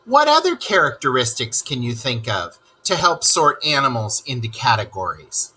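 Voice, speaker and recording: A man's voice: medium pitch, big pitch swings, moderate pace, pushed and bright, breathy edge, full character voice. Guy doing a peppy rat animal expert for a kids lesson, voice bouncing all over, quizzing the kids. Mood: enthusiastic. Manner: enthusiastic, asks the listener questions. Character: cheerful male rat animal expert and world traveler. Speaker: read-aloud teacher. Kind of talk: children's lesson read-aloud.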